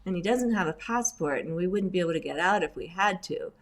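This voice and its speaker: A middle-aged woman, raised in Indonesia, telling chatty stories with lively asides as a guest in an interview. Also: on the low side, a little fast. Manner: chatty, animated storyteller with asides. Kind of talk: casual storytelling interview.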